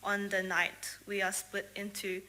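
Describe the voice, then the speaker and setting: Bright-voiced young woman giving testimony. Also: mid-range voice, completely flat delivery, unhurried. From Australia. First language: English. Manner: earnest, with frequent "um" and "like" fillers. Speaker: church member sharing a mission trip testimony. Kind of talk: prepared testimony talk to a congregation.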